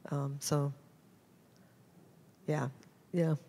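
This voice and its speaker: A middle-aged American woman answering audience questions as a guest speaker, casual and halting, often restarting her sentences. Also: low-pitched, flat delivery, warm tone, husky. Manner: halting, self-interrupting, informal. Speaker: guest photojournalist. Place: indoor hall at a public talk. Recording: amplified in a reverberant hall.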